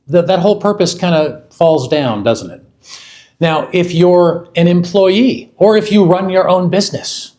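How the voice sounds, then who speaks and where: A man in his fifties speaking to a live audience at an event. His voice sits somewhat high for a man. He talks in a loose, conversational way, repeats words as he restarts phrases, and leans on each word when he runs through a list. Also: darkish tone. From United States. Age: 50s. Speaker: product development executive presenting at a launch event. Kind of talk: product presentation to a live audience. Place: event hall.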